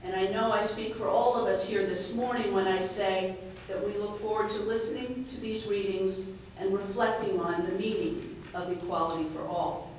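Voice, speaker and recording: A woman's voice: mid-range voice, steady tone, a little mellow. Woman giving a formal speech, very echoey. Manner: measured, formal. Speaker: chief judge giving ceremony welcome. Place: large echoey courtroom. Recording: very echoey, distant mic, faint noise.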